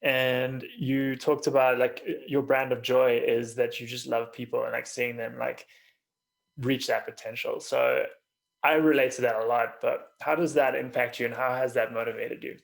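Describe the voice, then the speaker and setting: A man's voice: mid-range voice, steady tone, moderate pace, vocal fry. A man hosting a podcast, interviewing in a casual, conversational way. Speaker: podcast host.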